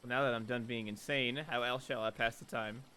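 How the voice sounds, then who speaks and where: A man's voice: mid-range voice, little pitch movement, a little fast, pitched below the performer's own voice, natural voice. A man voicing a sardonic, exasperated young woman in a visual novel, dry and skeptical as she talks with her own mind. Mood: resigned. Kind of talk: visual novel character read-aloud. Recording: loud music behind. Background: loud music.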